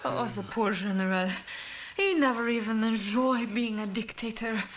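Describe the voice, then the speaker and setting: A soft-hearted French doll played by a woman gushes in broken English with a French accent. The voice sits high and bright in this comic radio play, theatrical and sweet. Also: moderate pace, a little hiss or hum, close to an everyday voice. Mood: sad.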